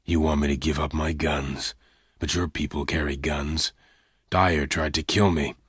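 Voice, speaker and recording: A man voicing a calm, blunt male gunfighter who speaks low, hushed and clipped. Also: unhurried, slightly rough, no echo, full character voice.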